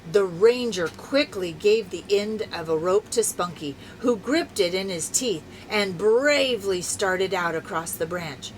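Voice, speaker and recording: Older woman, grandmother storyteller, leisurely pace, expressive children's book reading. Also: medium pitch, slight background noise, rough recording. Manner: animated, dramatic, deliberate storytelling.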